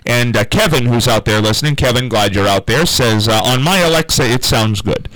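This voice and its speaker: A man with a deep voice hosting a call-in radio talk show in a relaxed, conversational way, from a small carpeted studio. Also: brisk, dry recording, low-quality recording. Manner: relaxed, conversational, takes calls.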